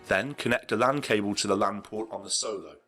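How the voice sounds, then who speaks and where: A man's voice: on the low side, a little fast, sharp tone, soft background music. A man reading scripted narration for an instructional video tutorial.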